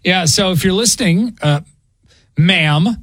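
A man's voice: high voice, unhurried, a bit bright, dry recording, studio quality. A man hosting a radio show, chatting and joking in a rambling way. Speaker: radio morning show host. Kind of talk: casual radio banter. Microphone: studio microphone.

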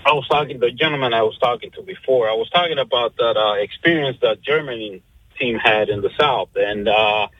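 A man in his mid-fifties from Cuba phones a radio show. His voice is slightly rough and husky, heard through a dull, dry phone line. He chats casually and amiably, wandering a little as he goes. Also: mid-range voice, fairly quick, no echo.

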